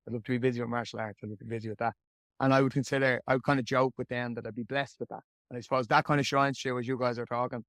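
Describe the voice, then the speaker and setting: A young man hosts an interview in a level, flat-pitched voice. He talks in a friendly, rambling way and restarts his sentences often. The sound is dry and close. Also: no room sound. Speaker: podcast host. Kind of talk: interview hosting.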